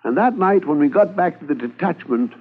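An actor narrating as a Mountie who looks back on a manhunt in a reflective storytelling style. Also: male voice, fairly high pitch, expressive, a little fast, slightly rough, narrow, tinny audio. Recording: thin old radio transcription with faint music.

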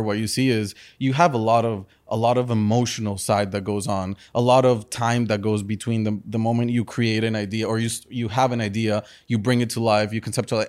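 A man's voice: on the low side, darkish tone. A man in his thirties who grew up in Spain, a business guest talking in a casual interview, earnest, restarting sentences and tagging them with "correct?". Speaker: entrepreneur guest.

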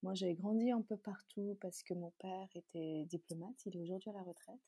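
A woman from Chad, a native Tazaga speaker, talks as an interview guest. Her voice is mellow and a little husky, recorded close and dry. She explains things in a conversational way. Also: medium pitch, steady tone, brisk, no room sound. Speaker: interview guest, beauty brand founder.

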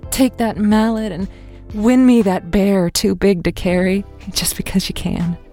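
A woman playing a wistful, self-deprecating romantic late-night radio host in an audio drama, with a breathy, confiding delivery. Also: on the low side, warm tone. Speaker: radio call-in show host. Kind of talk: semi-improvised audio drama, intimate radio monologue.

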